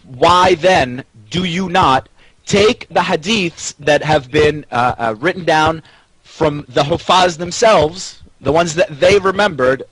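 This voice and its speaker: A man's voice: fairly high pitch, takes it slowly, a bit bright, husky, poor audio. A man debating as a panellist in unscripted talk, argumentative, often stammering and repeating himself as he presses his point.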